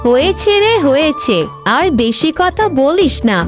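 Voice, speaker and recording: A woman's voice: high voice, expressive, a little slow. A woman playing a weary, cautious eldest sister-in-law in a cartoon family drama, resigned and elder-sisterly in manner. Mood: hushing, resigned. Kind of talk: melodramatic Bengali cartoon dialogue. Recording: clear music behind the voice.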